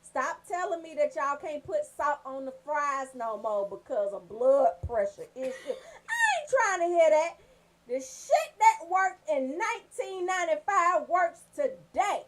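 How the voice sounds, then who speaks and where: A woman's voice: sits high, sing-song, unhurried. A woman doing a casual, comedic rant as a radio host, in a brash, profane, storytelling way.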